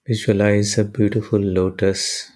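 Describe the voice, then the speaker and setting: A man leading a guided meditation and prayer in measured, deliberate short phrases with pauses between them. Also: on the low side, level tone, darkish tone.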